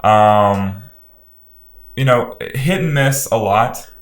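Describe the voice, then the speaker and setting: A man from Louisiana with a deep voice co-hosts a casual movie podcast. The recording has a noticeable room echo around him. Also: very slow. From Louisiana, USA. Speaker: podcast co-host. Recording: roomy, echoing podcast recording.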